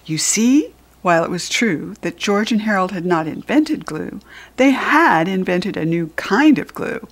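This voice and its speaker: An American woman reading a children's book aloud as a storyteller, expressive and with deliberate emphasis for young listeners. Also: medium pitch. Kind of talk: animated children's audiobook narration.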